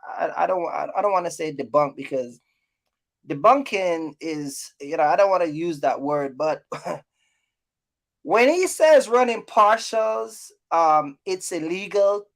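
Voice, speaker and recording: A man's voice: a little high, animated, a little slow, fairly bright tone. Man hosting a casual livestream, repeating himself. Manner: repeats himself, informal street slang. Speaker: live stream host.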